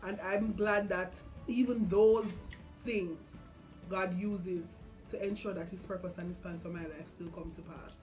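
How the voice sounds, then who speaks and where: A woman's voice: lowish voice, fairly even intonation, unhurried, a little mellow, poor audio. A young adult woman with a Caribbean accent hosting a casual, candid conversation. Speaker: vlog host. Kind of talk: casual chat about faith. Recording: music underneath the voice. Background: music.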